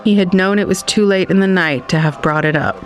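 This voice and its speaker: A man reading scripted literary narration for an audio drama in a measured, wistful manner. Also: sits high, soft background music.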